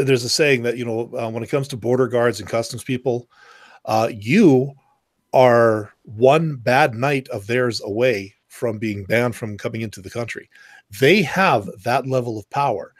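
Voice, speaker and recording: A man's voice: mid-range voice, normal speed, no room sound. A man co-hosting a casual round-table podcast, telling anecdotes and acting out the people in them, with a lot of swearing. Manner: storytelling, acts out quoted exchanges, swears freely. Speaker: podcast co-host.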